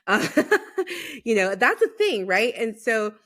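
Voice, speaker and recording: A woman's voice: a little high, very animated, slow pace, fairly bright tone. Enthusiastic woman podcast host. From Los Angeles. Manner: enthusiastic, lots of affirming backchannels. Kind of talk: casual conversational interview.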